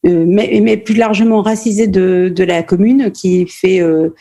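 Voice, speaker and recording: A middle-aged woman from Normandy in France, a playwright and stage director answering interview questions thoughtfully, with many pauses and restarts. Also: mid-range voice, steady tone, dark tone.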